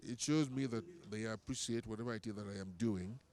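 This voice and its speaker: A man with a Nigerian accent and a slightly low voice gives interview answers as a performer. He speaks in a composed, measured, rather formal way. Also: darkish tone.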